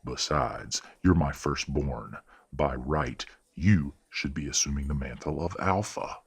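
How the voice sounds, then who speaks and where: A man playing a stern, courteous, middle-aged werewolf father in an audio roleplay drama, speaking in a formal, measured, authoritative way. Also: rumbling low, husky, full character voice. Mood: firm, proud.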